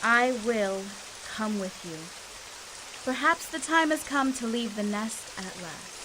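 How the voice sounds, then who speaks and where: A woman's voice: on the high side, expressive, takes it slowly, background noise. A woman playing a regal, dignified storm goddess in an audio drama, speaking in a formal, stately manner. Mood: considering, accepting. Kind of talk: scripted audio drama acting.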